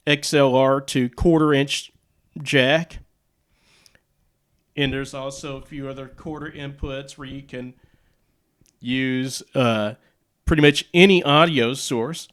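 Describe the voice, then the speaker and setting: A man hosting a casual audio gear demo, explaining things piece by piece in short, halting phrases. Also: mid-range voice, a bit bright. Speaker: YouTube host presenting radio and audio gear.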